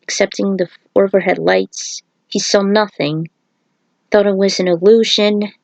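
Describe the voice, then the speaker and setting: A woman's voice: medium pitch, steady tone, measured pace, gravelly, a little mellow, no echo, low-quality recording. A woman reading her own fan fiction aloud, breaking into chatty, casual asides about it. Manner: chatty, self-commenting asides.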